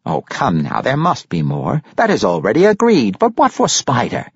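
A man's voice: medium pitch, wildly swooping pitch, moderate pace, breathy edge, no room sound, lo-fi sound, voice pushed up, full character voice. A man voicing a jovial, shrewd older grandfather with courtly, theatrical, flowery speech in an audiobook story. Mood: coaxing, admiring.

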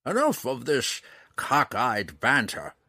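A man's voice: medium pitch, wildly swooping pitch, unhurried, fairly bright tone, no echo, clean studio sound, heavily acted. A man voicing an earnest, emphatic old male ranger in a fantasy audiobook. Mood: perturbed, insistent.

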